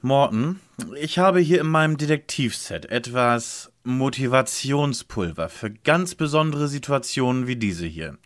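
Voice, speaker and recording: A man's voice: medium pitch, animated, unhurried, lightly acted. A man playing a pompous know-it-all young detective leader in an audio drama parody, with formal wording. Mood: commanding.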